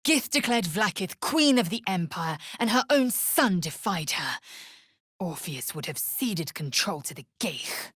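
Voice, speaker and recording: A woman playing a proud, fierce githyanki warrior in a video game, with a husky, raspy voice and a clipped, formal, commanding way of talking. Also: on the low side, expressive, measured pace, fairly bright tone, dry recording, clean studio sound, exaggerated voice. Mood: stern, resolute. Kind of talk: video game character voice lines.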